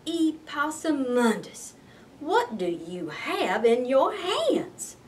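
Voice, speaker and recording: A woman's voice: high voice, big pitch swings, slow, distant mic, a little hiss or hum, heavily acted. A woman voicing an exasperated, scolding possum mama in a folksy, emphatic storybook style. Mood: suspicious, questioning.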